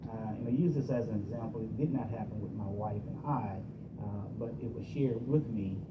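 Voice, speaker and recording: A middle-aged married man talking on a radio show, giving advice through everyday examples and stories. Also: a little fast. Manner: explains with homely examples and stories. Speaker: guest on a talk show. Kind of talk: casual advice talk. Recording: echoey, muffled, from far off with rumble.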